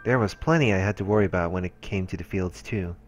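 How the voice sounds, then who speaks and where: A man with a deep voice reads visual novel prose aloud. His delivery is measured storytelling. Faint game music plays behind him. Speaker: let's-play narrator.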